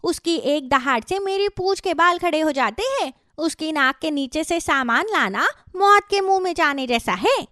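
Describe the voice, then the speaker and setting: Squeaky, extremely high little squirrel voiced by a woman. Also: animated, moderate pace, hardly any pauses, pushed and bright, no room sound, studio quality. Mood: fearful. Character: timid but eager young female squirrel sidekick. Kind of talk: Hindi children's cartoon voice acting.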